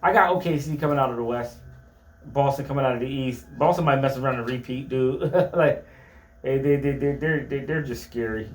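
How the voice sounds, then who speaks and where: A man's voice: mid-range voice, brisk. Stammering male sports fan, roomy sound. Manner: stammering restarts, animated fan opinions. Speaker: sports show co-host. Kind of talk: casual sports chat.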